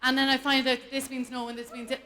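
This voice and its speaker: A woman from Ireland with an Irish accent, performing an animated, self-mocking stand-up set on a live stage and laughing often. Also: high voice, fast talker. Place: live event stage before an audience.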